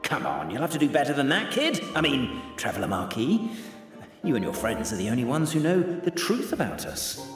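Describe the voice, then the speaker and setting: An actor playing a wry, talkative, politely chiding guide in a video game. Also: male voice, mid-range voice, huge pitch swings, normal speed, roomy sound, big-room sound, music in the background. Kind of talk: video game character acting.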